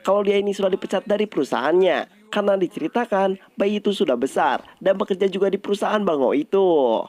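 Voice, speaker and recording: A man's voice: high-pitched, animated, quick pace, darkish tone. A man narrates a film recap in a casual, scripted style, addressing viewers directly in colloquial speech. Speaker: movie recap host.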